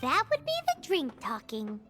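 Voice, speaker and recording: A woman voicing a tiny, childlike female fairy companion in a video game, cheeky, blunt and excitable. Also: normal speed, faint music behind, exaggerated voice. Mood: curious. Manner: blunt, teasing, squeaky childlike.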